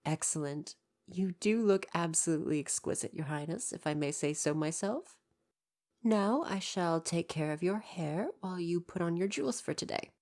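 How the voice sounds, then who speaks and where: A woman's voice: on the low side, normal speed, a little mellow, natural voice. A woman playing a devoted royal butler in an ASMR roleplay, speaking in a formal, deferential and precise way with parental care. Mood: attentive, fond.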